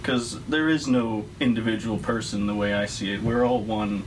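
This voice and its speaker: A man's voice: fairly low pitch, fairly even intonation, normal speed, distant mic, slight background noise, poor audio. A man of twenty-one answering interview questions in a laid-back, musing way.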